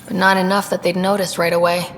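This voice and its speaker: A woman's voice: fairly low pitch, little pitch movement, fast talker, slight background noise, close to an everyday voice. A woman playing a decisive human space explorer and leader in a video game. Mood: focused.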